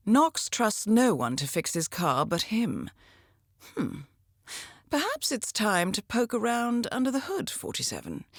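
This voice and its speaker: An actress playing a composed, shrewd intelligence handler in a video game, giving mission briefings in a measured, precise and dryly wry way. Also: female voice, mid-range voice, dry recording, studio quality, full character voice.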